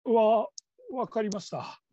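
A woman's voice: fairly high pitch, takes it slowly, highs cut off, noisy background. A woman playing a nervous, humble call-centre trainee in her fifties in a radio drama, stammering and stiffly over-polite. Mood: timid, tense. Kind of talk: Japanese radio drama acting.

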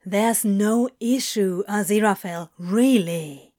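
A woman's voice: medium pitch, very slow, darkish tone. A woman voicing a guarded, laconic male demon in an audiobook story, with a clipped, mumbling, offhand drawl.

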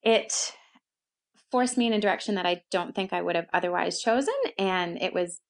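A woman's voice: on the low side, normal speed. A woman from Canada with a Canadian accent, talking conversationally about herself as an interview guest. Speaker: interview guest, co-working space founder. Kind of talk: conversational personal storytelling in an interview.

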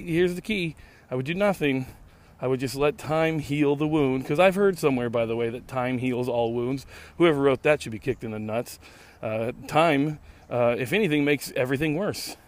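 A hoarse man in his late thirties, losing his voice, rambling sardonically and swearing freely in a casual solo podcast monologue recorded outdoors in a park. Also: mid-range voice. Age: late 30s. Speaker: podcast host.

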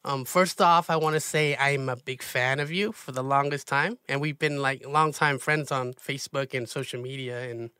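A man's voice: medium pitch, fairly even intonation, normal speed, few pauses, sharp tone, smooth, dry recording, studio quality. A man hosting an interview, chatting casually and upbeat, with lots of fillers. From US Pacific Northwest. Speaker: podcast host.

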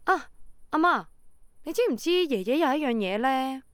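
A woman's voice: high voice, expressive, normal speed, clear, resonant tone, no echo, clean studio sound. An actress playing an easily flustered, skeptical young woman in a radio drama, with a Hong Kong accent. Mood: bright, curious. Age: young adult. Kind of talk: Cantonese radio drama acting and first-person narration.

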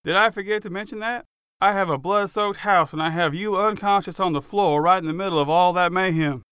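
A man's voice: high-pitched, a little fast, a bit bright, clear, resonant tone, dry recording, clean studio sound, voice pushed up. A man voicing a furious, exasperated cop who confronts his friend in emphatic, demanding outbursts for an audiobook.

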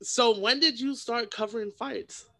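A man's voice: very high voice, unhurried, bright, forceful tone. A young man hosting a casual interview, informal and agreeable, often affirming what his guest says. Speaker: podcast host, interviewer.

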